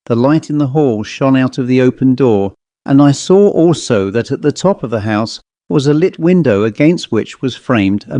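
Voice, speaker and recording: A man narrating a ghost story as an audiobook, with a measured, literary delivery. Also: medium pitch, warm tone, no room sound.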